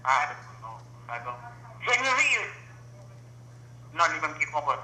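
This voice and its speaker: A man's voice: a little high, sing-song, unhurried, sharp tone. A man on a telephone call, speaking hesitant, non-fluent English in a confused, questioning way. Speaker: call recipient. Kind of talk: confused phone conversation. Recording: echoey, noisy telephone line.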